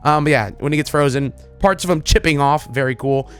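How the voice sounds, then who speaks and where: A man giving casual, excited commentary as a gaming video creator, rambling in an informal, enthusiastic way. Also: a little high, a little fast, smooth, soft background music.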